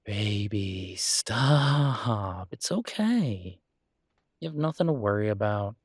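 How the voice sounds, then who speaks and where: A man's voice: lowish voice, sing-song, slow, breathy edge, dry recording, studio quality, heavily acted. A man playing a devoted, reassuring human boyfriend in an intimate roleplay audio, speaking casually and hesitantly. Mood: soothing. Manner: hesitant, casual, soothing, with soft chuckles. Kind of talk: roleplay audio acting, intimate one-sided conversation.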